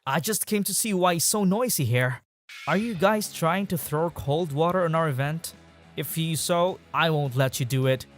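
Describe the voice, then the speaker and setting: A calm, tough teenage boy from a manga story, acted by a man. He speaks in a plain, unhurried, slightly stilted way, with music playing behind him. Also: a little high, close to an everyday voice. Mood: firm. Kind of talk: scripted manga dub narration.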